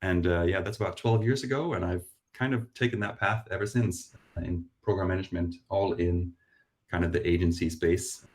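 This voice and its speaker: A man with a very low voice and a faint Danish accent, speaking over a video call. He talks modestly and pokes fun at himself, often stopping to restart his sentences. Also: steady tone, a little mellow. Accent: Danish, softened. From Denmark. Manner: modest, self-deprecating, halting restarts. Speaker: panelist. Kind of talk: panel introduction. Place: London.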